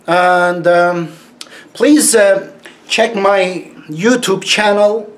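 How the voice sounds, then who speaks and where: A man speaks in a heavy Turkish accent, his English broken and his tone mocking. His voice sits a little high for a man, and he talks slowly and deliberately, with scornful laughs between his points. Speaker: YouTube commentator. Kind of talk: casual monologue to camera.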